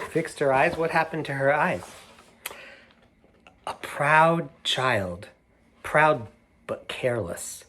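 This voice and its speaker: A middle-aged man reading a picture book aloud to children, gentle and unhurried, stopping to show the pictures. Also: mid-range voice. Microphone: camera mic, moving toward it to show pages.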